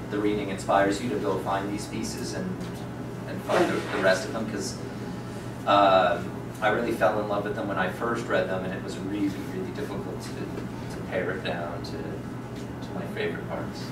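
Male director introducing an event, casual and hesitant, recorded from afar with noise. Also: lowish voice. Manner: hesitant, conversational. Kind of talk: informal spoken introduction to an audience. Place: small indoor theatre stage before an audience. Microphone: far camera. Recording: distant, echoey, noisy live event recording.

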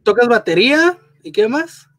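A man with a high voice asks short, friendly questions over a headset mic. The sound is close and dry, with no room around it. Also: sing-song, moderate pace, fairly bright tone, no echo. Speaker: interviewer. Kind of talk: casual interview over video call. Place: home room indoors.

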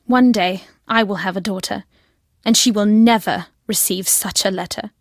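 A woman playing a secretive, fervent guardian of her family's destiny in a video game, speaking solemnly and deliberately. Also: mid-range voice, steady tone, no echo, clean studio sound, close to an everyday voice. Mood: solemn, resolute.

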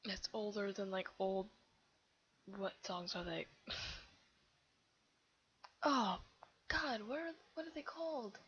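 A teenage girl with a somewhat low voice chatting casually over gameplay, rambling with lots of fillers. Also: slow, pauses often, a bit bright, husky. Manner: rambling, giggly, self-chattering with many fillers. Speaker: game video creator. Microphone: computer mic. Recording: dull lo-fi computer mic.

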